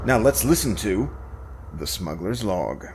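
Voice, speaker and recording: A man's voice: on the low side, very animated, unhurried, darkish tone, a little hiss or hum. A man hosting and narrating a podcast in a scripted, theatrical announcer style, showy and emphatic.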